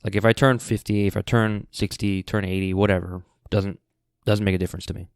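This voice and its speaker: Male podcast host, twenties, low and steady, smooth tone, easygoing rambling delivery. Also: brisk, dry recording, clean studio sound. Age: 20s. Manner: rambling, many fillers and restarts, laid-back. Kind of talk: casual solo podcast monologue.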